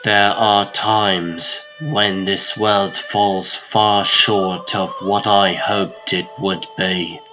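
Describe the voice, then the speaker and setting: Man playing a grave, very slow-spoken sorcerer. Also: on the low side, steady tone, sharp tone, faint music behind, heavily acted, low-quality recording. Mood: solemn, resigned. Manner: grave, portentous, deliberate. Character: solemn, world-weary adult male sorcerer serving a god. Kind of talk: comic dub dramatic monologue.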